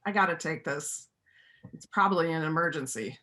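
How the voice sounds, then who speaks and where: A woman's voice: low-pitched, normal speed, pushed and bright. A woman playing a kind, plain-spoken widow who works with farm animals, in a reader's theater play. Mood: practical, caring. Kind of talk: stage reader's theater dialogue.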